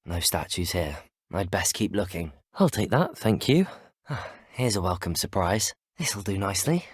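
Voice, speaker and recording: A man's voice: sits low, sing-song, measured pace, breathy edge, no echo, clean studio sound, exaggerated voice. A young man with a British accent playing a polite, earnest, inquisitive teenage student wizard in a video game, courteous and well-spoken. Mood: focused, determined. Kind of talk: scripted video game dialogue voice lines.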